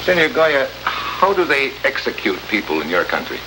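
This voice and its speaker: An actor playing a tough, wisecracking American special agent in an old radio drama, sardonic and slangy. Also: male voice, mid-range voice, big pitch swings, moderate pace, close to an everyday voice. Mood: probing, wry. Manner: sardonic, hard-boiled, slangy. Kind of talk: 1950s radio drama acting. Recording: noisy old radio recording, heavy hiss.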